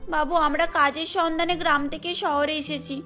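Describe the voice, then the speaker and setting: High, pleading village girl, voiced by a woman. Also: fairly even intonation, normal speed, bright, forceful tone. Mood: hungry pleading. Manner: earnest, pleading, girlish. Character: hungry, pleading young village daughter. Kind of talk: cartoon story dialogue. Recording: music bed under the voice.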